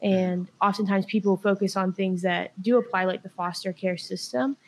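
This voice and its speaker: Young woman raised in China, earnest explainer, podcast guest; plain even delivery. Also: medium pitch, normal speed. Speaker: podcast guest, workshop leader. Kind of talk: podcast discussion.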